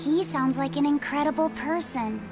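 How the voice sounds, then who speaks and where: High, earnest young boy. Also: normal speed. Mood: encouraging. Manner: sincere, caring, youthful. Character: earnest, kind young boy learning to steer his life. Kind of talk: English-dubbed video game cutscene acting. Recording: dramatic game music behind the voice.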